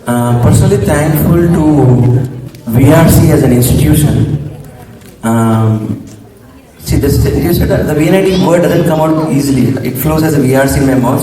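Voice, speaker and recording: A man in his forties from coastal Andhra Pradesh with an Indian English accent, slightly hoarse, giving a hesitant formal vote of thanks at an alumni reunion. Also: medium pitch. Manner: hesitant, many ums and restarts. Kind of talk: formal public speech with pauses. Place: large hall. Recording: echoey hall mic from far off.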